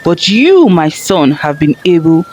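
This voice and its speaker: Folktale role: angry, proud father, played by a woman, low and dramatic, highly animated. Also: unhurried, slight background noise. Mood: bitter, astonished. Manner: indignant, dramatic. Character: proud, bitter, angry father hoarding all wisdom. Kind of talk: folktale storybook character voice.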